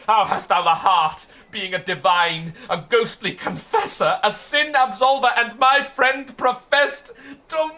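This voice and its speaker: A man's voice: high voice, measured pace, heavily acted. A man playing a distraught, impetuous young banished lover in classical Shakespearean radio drama, declaiming with anguish. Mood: bitter, pleading. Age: young adult. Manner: declamatory, anguished, bursting into shouts and sobs. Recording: faint hiss, 1990s radio drama CD.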